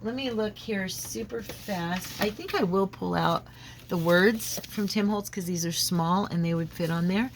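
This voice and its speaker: A woman's voice: medium pitch, measured pace, a little hiss or hum. A woman hosting a crafting vlog at her home craft desk, chatting casually with lots of fillers while slightly froggy from a head cold. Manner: rambling, friendly, many fillers and restarts. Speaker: crafting channel host.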